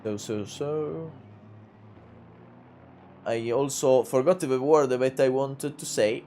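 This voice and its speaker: A man's voice: mid-range voice, slow pace. Italian guy in his 20s doing race commentary, english is his second language, lots of uhs, a bit of car noise in the back. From Italy. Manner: hesitant, many fillers and restarts; gets louder and more excited in close battles. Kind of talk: sim racing commentary.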